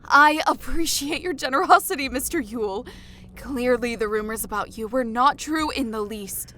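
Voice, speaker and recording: A woman playing a cheerful, eager, excitable Fae woman in an audiobook. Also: fairly high pitch, normal speed. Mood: cheerful gratitude. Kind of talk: audiobook character acting.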